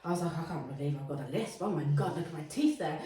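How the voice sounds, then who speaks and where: Fast-talking giggly Englishwoman, echoey room. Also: low-pitched, expressive, warm tone, a little hiss or hum. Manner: giggly, gushing, swears casually. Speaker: podcast co-host. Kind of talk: casual chat. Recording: very echoey room, distant mic.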